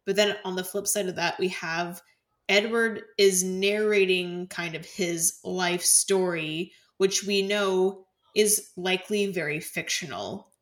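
A woman's voice: on the low side, slow pace. A woman co-hosting a casual film discussion, explaining her thoughts in a conversational, rambling way. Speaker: podcast co-host discussing a film.

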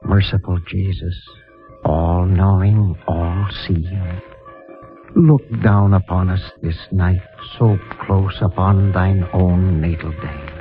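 A man playing a solemn, compassionate, fatherly priest in a 1950s radio drama, speaking in a grave and gentle way. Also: low-pitched, fairly even intonation, slow pace, soft background music. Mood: prayerful, sorrowful. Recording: muffled, lo-fi 1950 radio recording.

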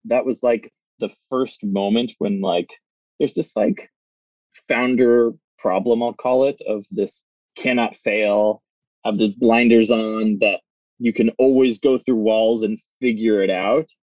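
A man's voice: fairly low pitch, steady tone, slow pace, darkish tone, no echo, lo-fi sound. A man in his thirties, a startup founder, talking in a rambling, casual way with lots of "like" fillers, recorded in a small phone booth. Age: 30s. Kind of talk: casual interview chat.

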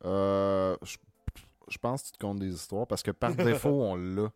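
A man from Quebec with a Québécois French accent, co-hosting a podcast chat and telling animated, profanity-laced stories. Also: deep voice, normal speed, clear, resonant tone, dry recording, soft background music. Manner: animated storyteller, swears freely. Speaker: podcast co-host. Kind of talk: casual chat.

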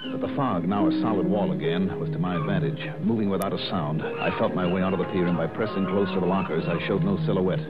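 An actor playing a wry, crisp, skeptical insurance investigator in a 1960s radio detective drama. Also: male voice, low-pitched, fast, hardly any pauses, natural voice. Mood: tense, hushed. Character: wry, hard-boiled male freelance insurance investigator. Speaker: lead actor and first-person narrator. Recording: dull vintage radio recording, loud music behind.

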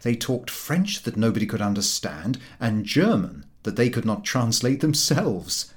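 A man reading a humorous literary story aloud in an expressive, dryly droll way. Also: mid-range voice, unhurried. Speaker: audiobook reader.

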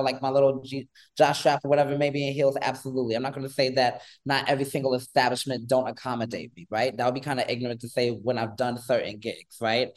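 A man in his thirties from New York, a guest on an interview show, talking in an animated, rambling way with lots of fillers. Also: medium pitch. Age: 30s. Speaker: guest, pole dance instructor. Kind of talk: casual interview chat.

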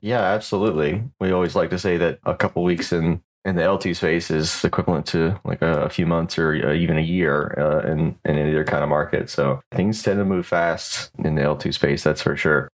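A male podcast host with a very low, deep voice. He interviews his guest in a friendly and encouraging way, asking open questions and offering praise. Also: fairly even intonation, fairly quick, few pauses.

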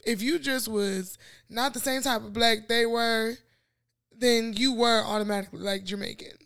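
Thoughtful, fairly high-voiced woman. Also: fairly even intonation, moderate pace, fairly bright tone, clear, resonant tone. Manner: thoughtful, reflective, with many fillers. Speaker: podcast co-host. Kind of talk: casual chat.

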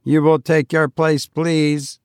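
A man voicing a calm, strict robot teacher who speaks flatly and without feeling. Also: on the high side, clean tone, no room sound, clean studio sound, voice pushed up. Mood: stern. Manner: flat, unfeeling, measured.

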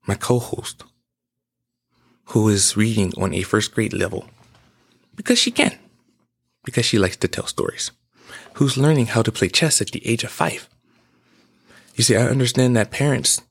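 A man's voice: medium pitch, gravelly. A man in his twenties giving an emphatic, preachy personal monologue as a podcast host. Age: 20s.

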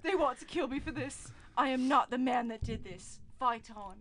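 A woman's voice: high voice, moderate pace, a bit bright. A woman voicing a condemned man giving an aggrieved, mock-solemn last statement in a comedy reading. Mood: resigned.

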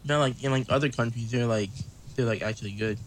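Male co-host, laid-back, halting delivery, medium pitch. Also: no room sound, low-quality recording. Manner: laid-back, hesitant, stumbles and repeats words. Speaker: podcast co-host. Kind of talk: casual podcast banter.

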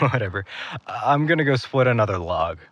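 A man's voice: mid-range voice, lively intonation, normal speed, a bit bright, dull audio, slight background noise, close to an everyday voice. A man playing a guarded, stubborn young man in an audio drama, with an understated, hesitant delivery. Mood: practical, teasing. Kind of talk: naturalistic audio drama acting.

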